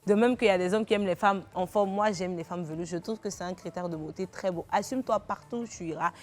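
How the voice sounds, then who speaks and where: A woman's voice: on the low side, quick pace, darkish tone. A woman guest on a talk show speaks emphatically, giving heartfelt advice.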